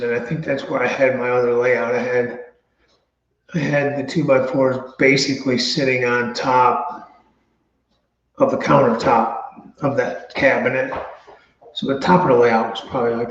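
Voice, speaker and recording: A man's voice: medium pitch, fairly even intonation, a little slow, slight background noise. Man chatting casually in a roomy space. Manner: thinks out loud, meandering. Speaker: show host. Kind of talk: casual chat. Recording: roomy, slightly dull audio.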